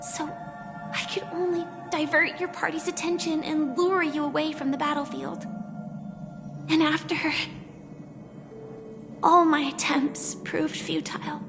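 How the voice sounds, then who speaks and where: An actress voicing a gentle young woman who haltingly confesses a hidden identity in a video game, in a soft, earnest way. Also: sits high, level tone. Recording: game audio with reverb and music.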